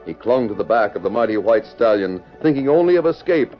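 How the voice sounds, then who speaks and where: A man's voice: mid-range voice, lively intonation, brisk, faint music behind, lightly acted. A man performing as the dramatic storyteller of an old-time radio western, reading scripted narration. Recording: muffled vintage radio recording.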